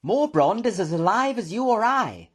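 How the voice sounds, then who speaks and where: A man voicing an excitable, pompous professor in a storybook reading, with a patronising, melodramatic manner. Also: high-pitched, moderate pace, husky, pitched above the performer's own voice, exaggerated voice. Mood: excited, indignant.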